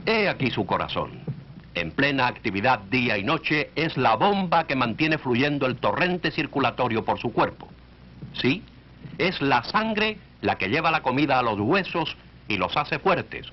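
A man's voice: mid-range voice, sing-song, a little slow, slight background noise. A man narrating a 1940s educational film in an instructive way, speaking directly to the listener. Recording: dull, band-limited 1940s film soundtrack.

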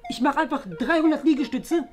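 Man doing a high-pitched, boastful muscle-head pupil. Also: fairly even intonation, fast talker, darkish tone, faint music behind. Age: young adult. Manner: boastful, exaggerated. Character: cocky, dim young male bodybuilder pupil. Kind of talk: exaggerated web comedy sketch acting.

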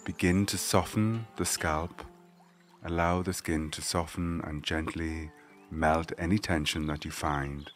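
A man leading a guided meditation, giving gentle, measured spoken instructions. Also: low-pitched, fairly even intonation, faint music behind.

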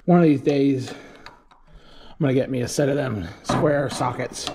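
A man's voice: mid-range voice, moderate pace, background noise. Plain-spoken folksy farm guy. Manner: plain-spoken, folksy, thinking aloud with fillers. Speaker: farm vlogger. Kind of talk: casual vlog narration. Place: small farm, barn and workshop.

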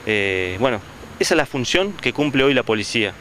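Man in his 40s, union spokesman, bright tense voice, street mic, faint noise. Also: mid-range voice, very animated. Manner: denouncing, measured. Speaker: union leader. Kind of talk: press statement. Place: outdoors on a town street. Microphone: handheld reporter mic.

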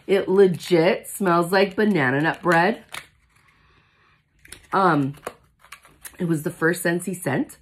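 A woman in her forties who has lost her voice, talking hoarsely with a cough in a chatty, gushing unboxing vlog, seated in a small room in front of a phone camera. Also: on the low side, takes it slowly, long pauses, slight background noise. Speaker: independent product consultant. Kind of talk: casual product unboxing vlog. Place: small indoor room with shelves, seated at a table.

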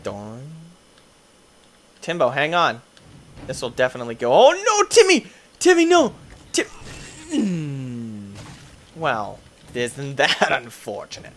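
A young man doing goofy gameplay commentary, tired, his voice deeper late at night, swinging between low mumbles and excited yelps. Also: takes it slowly, pauses often. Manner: goofy, playful, jumps between mumbling and yelping. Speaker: gaming youtuber.

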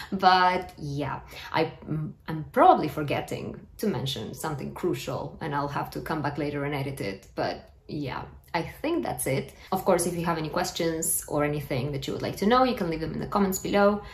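A woman's voice: low-pitched, lively intonation, moderate pace. A woman in her thirties from South America, a book vlogger chatting casually to camera in a small room. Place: small plain room at home, indoors. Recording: roomy indoor echo.

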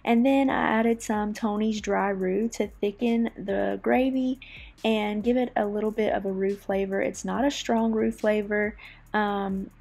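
A woman casually narrating her cooking in a relaxed, chatty voice-over. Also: medium pitch. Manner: relaxed, chatty, friendly explaining. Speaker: cooking vlogger.

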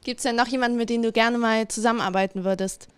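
A young woman hosts a casual interview with a fairly high voice. She puts short questions into a close handheld mic, and the sound is very dry. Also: steady tone, quick pace, clear, resonant tone, no echo. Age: young adult. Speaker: interviewer, host. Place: indoor room, seated on a couch. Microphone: handheld microphone, close.

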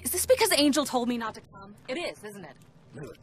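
A woman's voice: a little high, big pitch swings, normal speed. A woman playing a fiery, headstrong resistance fighter in a video game, insistent and brash. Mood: defiant.

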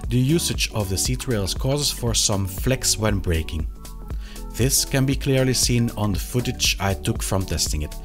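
A man in his thirties presenting a product review to camera, with a hesitant, halting delivery and frequent pauses. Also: mid-range voice. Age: 30s. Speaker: video reviewer of sim racing gear. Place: small indoor hobby room.

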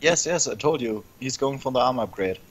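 A man's voice: mid-range voice, animated, a little fast. A man casting a strategy game match, explaining plays in casual commentary and laughing easily. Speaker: esports commentator.

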